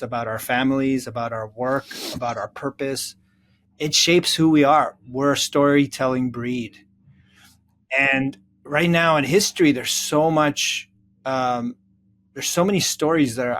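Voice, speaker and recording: Middle-aged man, mid-pitched, earnest, reflective, conversational, halting, piling up rhetorical questions. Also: a little hiss or hum. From Czechoslovakia, moved to North America. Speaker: interview guest, psychotherapist and author.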